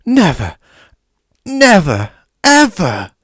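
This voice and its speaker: A man voicing a small, servile and tearful male house elf who talks about himself by name in a high, excitable way. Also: unhurried, no room sound, studio quality. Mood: earnest, wailing.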